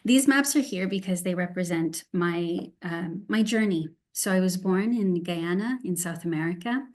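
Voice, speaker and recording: A woman's voice: fairly low pitch, a little mellow. Nervous Canadian woman presenting online. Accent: Canadian English. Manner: nervous, halting, many ums and restarts. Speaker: guest speaker, Montessori teacher educator. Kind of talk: online presentation with shared slides. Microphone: video call.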